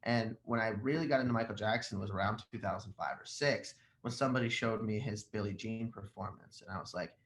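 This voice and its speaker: Male, twenties, native Arabic speaker, animated casual storyteller on a video call, roomy sound with faint noise. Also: on the low side, fairly quick, few pauses. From Jordan, moved to the United States as a young child. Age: 20s. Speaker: podcast guest, rock singer. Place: living room at home, indoors, on a video call. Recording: echoey room, faint background noise.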